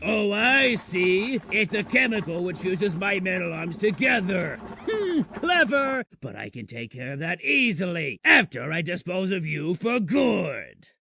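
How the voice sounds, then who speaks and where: An actor voicing an arrogant mad scientist villain, theatrical, gloating and menacing, in a comic book dub. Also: male voice, sits high, big pitch swings, measured pace, gravelly, sharp tone, heavily acted. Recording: music behind the voice.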